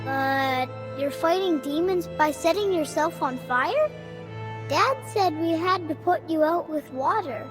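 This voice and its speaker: A woman's voice: sits high, lively intonation, over music. A woman voicing a small, earnest young boy devoted to his mother, speaking in a childlike way for a video game. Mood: puzzled. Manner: earnest, childlike, slow delivery.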